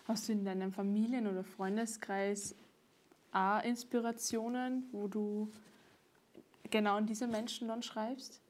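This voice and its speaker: A woman interviewing a musician, asking calm, measured questions. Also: medium pitch.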